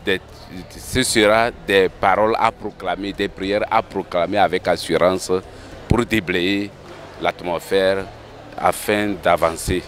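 A man's voice: low-pitched, steady tone, measured pace, fairly bright tone, slight background noise. A middle-aged man giving an interview into a handheld microphone in a large hall. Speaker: church coordinator. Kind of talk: interview testimony. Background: faint hall ambience.

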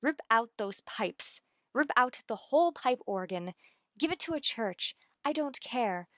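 A woman playing an earnest, anxious woman in love with a widower, in slow, pleading, melodramatic old-time radio suspense style. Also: a little high, lively intonation, fairly bright tone, no room sound, clean studio sound. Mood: firm, distressed. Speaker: radio drama actor.

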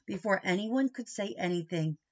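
A middle-aged woman reading a children's picture book aloud expressively, pausing for questioning asides to young listeners. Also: medium pitch, expressive, highs cut off. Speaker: storybook reader. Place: small wood-panelled room indoors.

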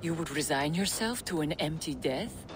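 An actress playing an old woman seer, calm and resigned, speaking gently and soothingly. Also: deep voice, moderate pace. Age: older. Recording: game audio with music behind.

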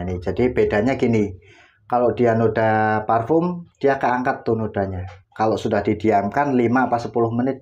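Man, deepish voice, laid-back, talks through each step, home setting. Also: highs cut off. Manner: relaxed, step-by-step explaining while working. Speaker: laundry tutorial host. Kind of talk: casual how-to demonstration. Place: home laundry area, indoors.

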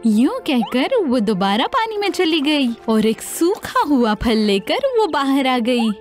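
A woman narrating a scripted fairy tale for children in an expressive, dramatized way. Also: sits high, soft background music. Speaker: storyteller.

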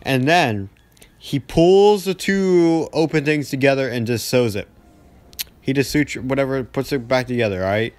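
A man's voice: mid-range voice, normal speed, no echo. Young man rambling in heavy slang. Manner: rambling, heavy slang, animated retelling with mimicked dialogue. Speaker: gaming video storyteller. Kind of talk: casual storytelling over gameplay, slangy.

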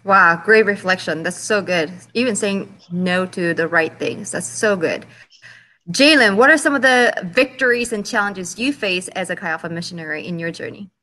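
Bright, affirming woman host guiding a panel on a video call. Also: on the low side, moderate pace, poor audio. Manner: encouraging, affirming. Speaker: panel moderator. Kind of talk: hosting a panel discussion.

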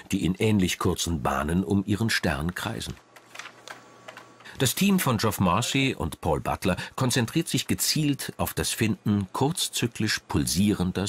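A man reading a scripted documentary narration in a measured, dramatic manner. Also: low-pitched, husky.

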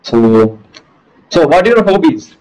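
A young man with a Malayali accent jokes his way through a video chat from a small room, hesitating with fillers between teasing questions. His voice sits a little high and jumps around a lot in pitch, caught on a wired earphone mic. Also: big pitch swings, measured pace, darkish tone, poor audio. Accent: Malayali English. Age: young adult.

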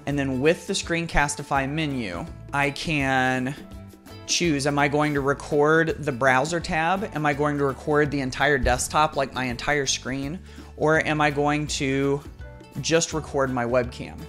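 This is an American man in middle age with a medium-pitched voice. He explains in a casual, conversational way, like an educator hosting a tutorial. He speaks into a desk microphone in a small office, with guitar music playing behind him. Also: measured pace, a bit bright. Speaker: educator, tutorial video host. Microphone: desktop microphone close in front. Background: background guitar music.

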